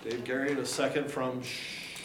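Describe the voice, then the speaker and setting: A man chairing a board meeting, brisk and procedural with light joking. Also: medium pitch, little pitch movement, background noise. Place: meeting room. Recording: roomy hall, distant mic.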